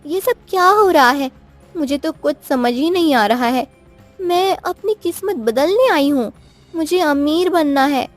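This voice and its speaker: A woman's voice: high-pitched, lively intonation, measured pace, soft background music, pitched above the performer's own voice. A woman voicing an eager, easily surprised young village wife in a cartoon story, full of exclamations and questions. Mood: determined. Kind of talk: cartoon moral story acting.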